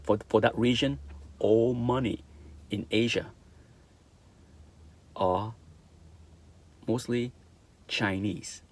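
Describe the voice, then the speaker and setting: A man's voice: mid-range voice, steady tone, takes it slowly, long pauses. A middle-aged man from Vietnam with a Vietnamese accent, giving an earnest opinion monologue, repeating his points and checking in with "okay?". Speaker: vlogger.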